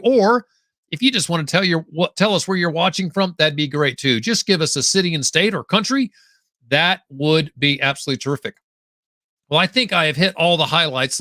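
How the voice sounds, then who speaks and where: A man's voice: fairly high pitch, sharp tone, no room sound, studio quality. A man hosting a live-streamed interview, upbeat and effusive. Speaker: podcast host.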